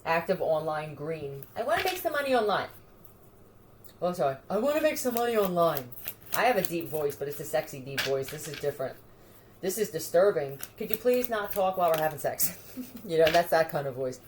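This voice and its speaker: A woman's voice: low voice, big pitch swings, fairly quick, background noise. Rambling, crude woman talking to camera. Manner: crude, scattered, addresses unseen listeners. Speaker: video creator.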